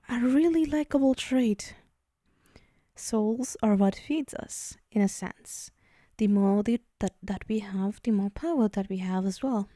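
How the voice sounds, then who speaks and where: A woman voicing a possessive demon mistress for an ASMR roleplay, dark and mellow in tone. She speaks slowly and haughtily, teasing with soft menace, in a very dry, intimate recording. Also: on the high side, no echo, natural voice. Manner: slow, teasing, condescending, murmuring into whispers.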